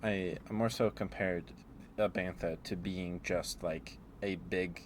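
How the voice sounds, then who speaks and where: A man streaming a casual, rambling ASMR chat, speaking in a halting, musing way as if thinking aloud. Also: low voice, fairly even intonation, slight background noise. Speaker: livestreamer.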